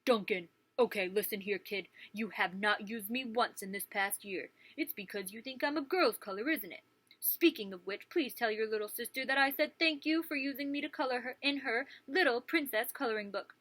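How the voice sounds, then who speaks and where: A woman's voice: a little high, pushed and bright, no room sound. A woman voicing a bossy, neglected crayon from a children's storybook, assertive and sassy. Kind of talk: children's storybook read-aloud.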